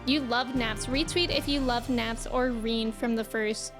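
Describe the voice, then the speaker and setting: A woman's voice: fairly high pitch, fairly even intonation, unhurried. A woman livestreaming a game, chatting casually and reacting to the story in slangy speech. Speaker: game streamer. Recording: music behind the voice. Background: game music.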